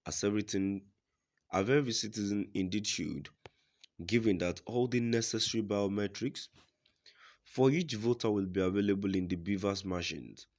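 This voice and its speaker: A man reading scripted news reports in a formal, deliberate manner as a news reader. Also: on the low side, darkish tone.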